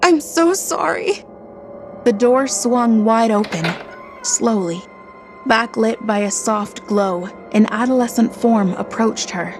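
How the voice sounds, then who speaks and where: A woman narrating a scripted horror story in a dramatic, suspenseful manner. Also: a little high. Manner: slow, dramatic, suspenseful delivery. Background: music.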